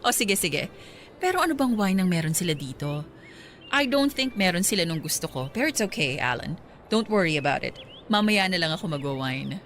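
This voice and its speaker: An animated, haughty Filipina socialite. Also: female voice, on the low side, fairly quick, slight background noise. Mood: coquettish. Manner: affected socialite Taglish, condescending. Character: haughty rich older female employer, coquettish and condescending. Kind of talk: Filipino radio drama acting.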